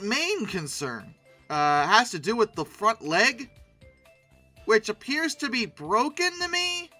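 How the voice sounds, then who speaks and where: A video host giving casual, humorous commentary in an expressive, emphatic way with wry, sarcastic asides. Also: high voice, dry recording.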